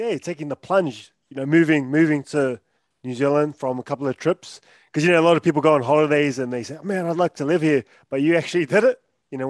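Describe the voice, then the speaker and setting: A man hosting a casual interview, encouraging his guest with quick interjections. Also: a little high, a little mellow, dry recording, clean studio sound.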